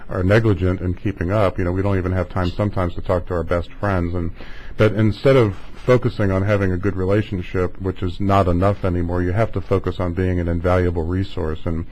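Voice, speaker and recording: A man's voice: sits low, steady tone, brisk, a little mellow, slight background noise, rough recording. A man hosting a conversational interview, talking in long, wandering questions. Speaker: interview show host.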